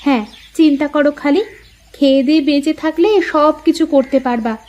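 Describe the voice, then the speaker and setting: A woman voicing a supportive cartoon housewife who questions and gently scolds her husband. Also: high-pitched, steady tone, brisk, lightly acted. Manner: questioning, lightly chiding. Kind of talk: acted cartoon dialogue.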